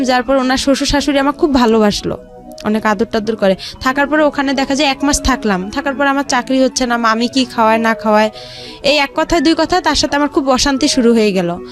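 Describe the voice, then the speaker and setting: Female, Bangladeshi accent, very high voice, rambling personal storyteller, faint music underneath. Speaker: guest telling their life story.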